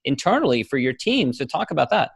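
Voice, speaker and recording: A man speaks as a podcast host at an average male pitch. His delivery is conversational and presentational, suited to introductions and interviews. The sound is dry, with very little room in it. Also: sing-song, quick pace, gravelly, husky, no echo.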